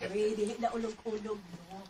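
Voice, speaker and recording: A woman playing a family friend who fawns over the boy, flattering and gushing. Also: medium pitch, normal speed, heavy background noise, lightly acted. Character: Tita Vivian, a doting aunt figure.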